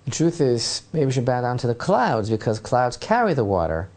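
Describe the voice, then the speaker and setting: A man's voice: medium pitch, sing-song, moderate pace, a little mellow. A man doing a wry, defiant young patriarch. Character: clever young male idol-breaker, defiant.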